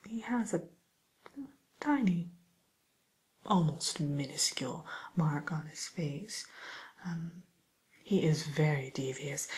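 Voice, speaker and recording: A softly whispering female matchmaker for villains, played by a woman in an ASMR roleplay. She takes her time, keeping a slightly low, breathy voice. Her manner is discreet and courteous, with hesitant tact and a gently dry edge.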